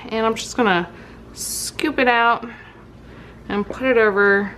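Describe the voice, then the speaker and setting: A woman's voice: fairly high pitch, fairly even intonation, a little slow, a bit bright, a little hiss or hum, rough recording. A woman chatting casually through a craft tutorial, rambling and thinking aloud as she works. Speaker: soap maker hosting her own craft video.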